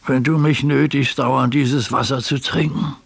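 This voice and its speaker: Old bedridden invalid in a radio drama, super raspy n croaky, needy, forgetful, whiny questions. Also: male voice, mid-range voice, fairly even intonation, measured pace. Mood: querulous. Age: older. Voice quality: raspy, creaky.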